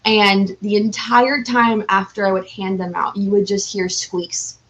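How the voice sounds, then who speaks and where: A woman with a medium-pitched voice talks as a guest in a casual interview. She is enthusiastic and informal, with a giggly streak. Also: little pitch movement, measured pace, fairly bright tone, rough recording. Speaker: podcast guest, cosplayer.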